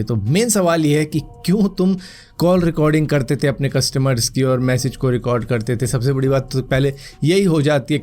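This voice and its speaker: A man's voice: medium pitch, a little mellow. Male news host, mid-range voice, conversational commentary, explains stories informally as if to friends. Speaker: host of a news roundup show.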